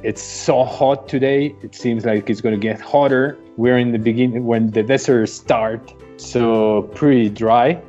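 A man from Mexico whose first language is Spanish, speaking English in a relaxed, reflective conversation with frequent pauses and fillers. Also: mid-range voice, muffled recording, soft background music. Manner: hesitant, many uh fillers, reflective. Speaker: guest. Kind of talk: casual remote conversation.

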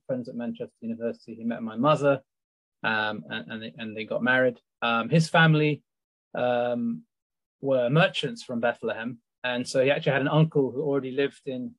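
A man born in Sudan, a law research fellow, speaking as a panel guest in a halting way with many fillers. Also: mid-range voice, no echo, low-quality recording. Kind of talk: panel discussion.

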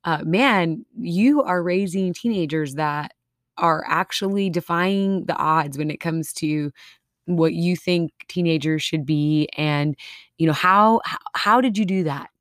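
A woman's voice: on the low side, measured pace, a bit bright, no room sound, studio quality. A woman from Minnesota with a Minnesota accent, co-hosting a faith podcast in an earnest, encouraging way. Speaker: podcast co-host. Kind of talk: casual faith podcast chat.

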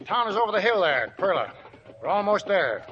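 Wry old prospector, high bright voice. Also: male voice, big pitch swings, a little fast, heavily acted. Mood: calm, watchful. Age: older. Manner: folksy, wry. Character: seasoned old American gold prospector, wry and philosophical. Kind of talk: 1940s radio acting. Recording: dull, noisy old radio recording.

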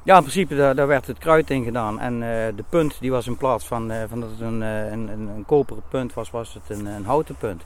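A man acting as a local guide outdoors, explaining things off the cuff in a halting way, often repeating and rephrasing himself. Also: mid-range voice. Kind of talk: unscripted explanation on location. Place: outdoors at a forest edge.

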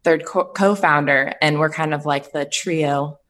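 A woman's voice: low voice, unhurried, fairly bright tone. A woman from the United States, a startup co-founder answering podcast questions remotely, upbeat and chatty. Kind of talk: casual interview answers. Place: Papillion, Nebraska, remote call.

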